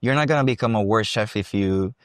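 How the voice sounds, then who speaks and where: A young man from Costa Rica with a Costa Rican accent, talking about his dance career in a relaxed, thoughtful interview. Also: lowish voice, brisk, no echo, studio quality. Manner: relaxed, thoughtful, with frequent restarts. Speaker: guest, salsa dancer and teacher. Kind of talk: casual interview. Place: salsa congress venue.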